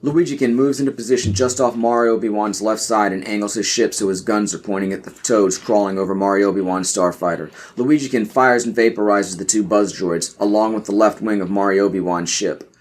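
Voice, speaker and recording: A man's voice: lowish voice, fairly even intonation, a little fast, hardly any pauses. A man doing scripted narration for a podcast audio play, reading out stage directions.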